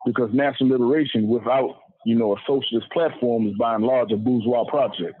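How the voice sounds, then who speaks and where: A man's voice: fairly even intonation, a little fast. A man speaking off the cuff as a political lecturer, explaining in a didactic way and repeating listed phrases for emphasis. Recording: muffled low-fidelity radio-like audio.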